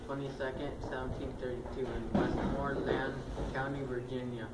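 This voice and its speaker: A man's voice: medium pitch, little pitch movement, normal speed, natural voice. A man playing a dignified founding president, reciting facts plainly. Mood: earnest. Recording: echoey room, far from mic, loud noise.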